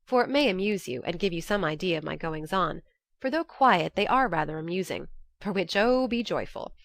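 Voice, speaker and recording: A woman's voice: on the low side, no echo. A woman narrating an audiobook, reading a classic novel's first-person letters as scripted narration.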